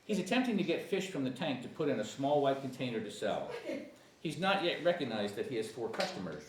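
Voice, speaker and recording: A man's voice: mid-range voice, big pitch swings, fairly quick, recorded from a distance. A man reading stage directions at a comedy screenplay table read, sometimes stumbling over words. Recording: echoey room with faint noise.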